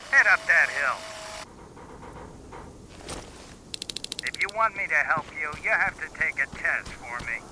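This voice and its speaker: Game role: testy, pedantic, suspicious doctor. High, very sharp voice, faint noise behind. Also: male voice, heavily acted, low-quality recording. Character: paranoid, fussy, testy male doctor in hiding. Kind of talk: video game voice acting.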